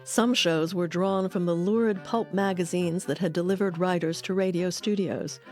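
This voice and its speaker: A woman's voice: lowish voice, fairly even intonation, moderate pace, a little mellow, faint music behind. A woman delivering scripted documentary narration.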